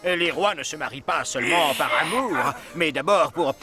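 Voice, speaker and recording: A man's voice: a little high, animated, moderate pace, over loud music, natural voice. A man voicing a formal, persuasive royal courtier, declaiming in a French cartoon dub.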